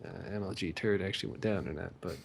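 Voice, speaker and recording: A man casually telling crude, vulgar stories in a halting, repetitive, filler-heavy way. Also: deep voice, gravelly, background noise. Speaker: co-host of a visual novel rant show. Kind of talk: casual rambling crude storytelling.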